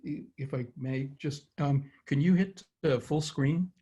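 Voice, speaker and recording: Guy hosting an online talk, mellow and a bit husky, steady measured read. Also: on the high side, highs cut off. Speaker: forum host. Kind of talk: reading a formal introduction.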